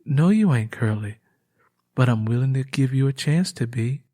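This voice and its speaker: A man's voice: mid-range voice, lively intonation, normal speed, mellow, close to an everyday voice. A man voicing an earnest, guarded young country woman with a cautious, pleading manner in an audiobook. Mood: cautious, hopeful. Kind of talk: dramatised audiobook dialogue.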